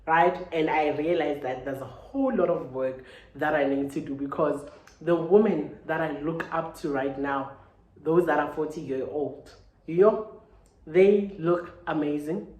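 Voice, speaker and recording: A woman's voice: low-pitched, darkish tone. A woman in her thirties giving a casual, earnest motivational talk, often adding "right?" and "you know". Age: 30s. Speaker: vlogger. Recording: roomy echo.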